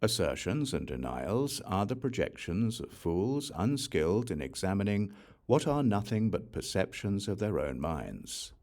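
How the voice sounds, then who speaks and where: A man narrating a Buddhist scripture as an audiobook, reading in a measured, deliberate way. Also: fairly low pitch, very animated, darkish tone.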